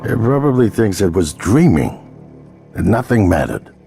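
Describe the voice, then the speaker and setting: An actor playing a weary, grave lead scientist in a video game, speaking deliberately and earnestly. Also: male voice, lowish voice, very animated, creaky, warm tone, soft background music. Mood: probing, grave. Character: weary, grave male scientist leading an experiment. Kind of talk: video game cutscene dialogue.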